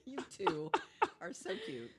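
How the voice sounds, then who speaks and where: A woman's voice: lowish voice, wildly swooping pitch, takes it slowly, crowd noise. A woman chatting casually as a podcast panelist, with a playful, teasing manner. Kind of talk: casual chat.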